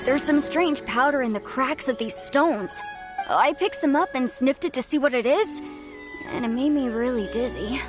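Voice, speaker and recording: Brief: actress playing a high-voiced young forest spirit girl, earnest, game music underneath. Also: moderate pace, natural voice. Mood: eager. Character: eager young female forest spirit, helpful. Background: game soundtrack music.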